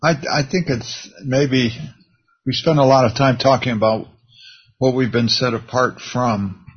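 Husky man speaking up in class. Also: mid-range voice, fairly even intonation, unhurried, lo-fi sound. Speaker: class member. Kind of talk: class discussion.

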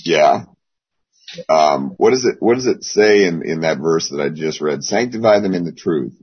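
Hoarse man, Bible class teacher, very low husky voice, rambling delivery, dull lo-fi call audio. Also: animated. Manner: rambling, with many pauses. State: hoarse, losing his voice.

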